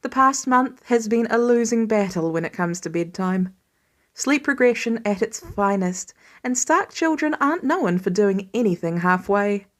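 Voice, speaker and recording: A woman reading fan fiction aloud as an expressive audiobook-style narrator. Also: medium pitch, normal speed. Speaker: podfic reader.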